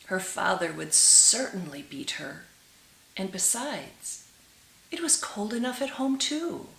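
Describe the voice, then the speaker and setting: A woman reading a storybook aloud for children as a storyteller, with dramatic, drawn-out emphasis. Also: lowish voice, fairly bright tone. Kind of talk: expressive storybook read-aloud for children, with brief asides. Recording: roomy echo with clear hiss.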